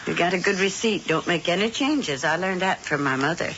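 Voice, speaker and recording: An actress playing an elderly witch and doting wife, gentle and fussing, in a 1970s radio drama. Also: on the low side, expressive, a little fast, slight background noise. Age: older. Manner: gentle, fussing, sweetly motherly. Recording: dull lo-fi old radio broadcast.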